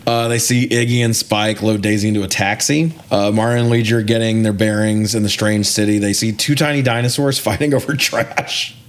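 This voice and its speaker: A man's voice: fairly low pitch, fairly even intonation, brisk, few pauses. A young man talks casually as a podcast co-host. He recaps a film step by step and nitpicks it in a wry, exasperated way, cursing freely as he goes.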